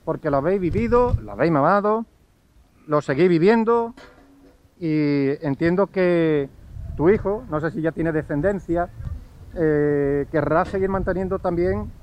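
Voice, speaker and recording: Male reporter, higher voice, leading questions, faint background noise. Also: a little slow, dull audio. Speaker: interviewer. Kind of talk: local news interview.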